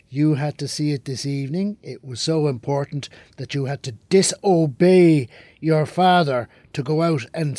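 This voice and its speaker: A male actor plays a stern working dad who hectors with sarcasm and scorn. The voice is husky and a little high for a man, recorded dry for radio drama. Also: darkish tone, dry recording, natural voice. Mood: sarcastic, stern. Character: stern, scornful working father berating his son. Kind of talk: naturalistic radio drama acting.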